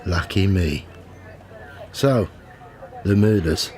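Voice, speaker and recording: A man's voice: low voice, slow pace, darkish tone. A man in his fifties playing a cynical, world-weary journalist in a radio play, with a wry, dry manner. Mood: cheeky, probing. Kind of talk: radio play narration and dialogue.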